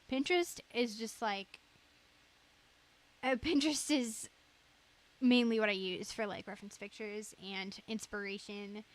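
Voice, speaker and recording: Young woman streamer, kinda high voice, rambly giggly casual chat. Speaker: live streamer.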